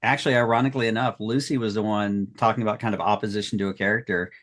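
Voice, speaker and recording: A man's voice: lowish voice, fairly even intonation, very fast, a bit bright. A man in a casual panel chat, talking as the writer of an audio drama.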